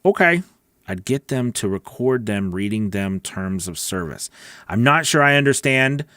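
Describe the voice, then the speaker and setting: A man's voice: fairly low pitch, expressive, measured pace, fairly bright tone, dry recording. A middle-aged man chatting casually and directly as a livestream host and coach, answering questions on a close studio mic. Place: small home recording studio. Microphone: close broadcast mic on boom arm.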